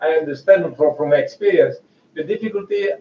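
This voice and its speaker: A man's voice: fairly high pitch, brisk. Indonesian-accented man lecturing in an echoey room. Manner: chatty, hesitant restarts, frequent laughs. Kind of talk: research seminar lecture. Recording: echoey, distant, muffled room mic.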